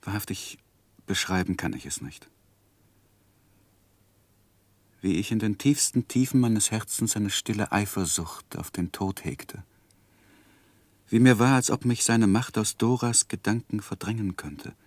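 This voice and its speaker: An actor playing an earnest, lovesick young gentleman who narrates his life in a measured, introspective 1960s German radio drama. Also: fairly low pitch, dry recording. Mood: troubled. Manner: measured, introspective literary delivery.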